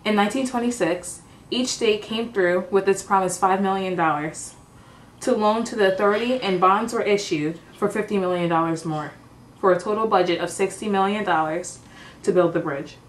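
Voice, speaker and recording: A woman's voice: medium pitch, moderate pace. A woman reading a scripted amateur news report as a reporter. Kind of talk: scripted amateur mock newscast. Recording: echoey room, distant mic, faint noise.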